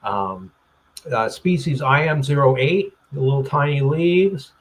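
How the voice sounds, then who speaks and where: A man speaking as a guest over a webcam video call, rambling and halting, clearing his throat and restarting his sentences. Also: medium pitch. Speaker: plant grower, interview guest. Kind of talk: casual interview chat.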